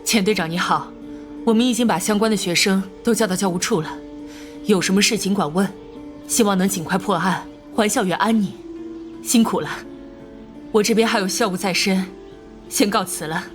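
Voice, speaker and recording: An actress playing a courteous, dignified school principal in an animated crime drama, speaking in polite, ceremonious phrases. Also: female voice, medium pitch, moderate pace, pauses often, over music, lightly acted.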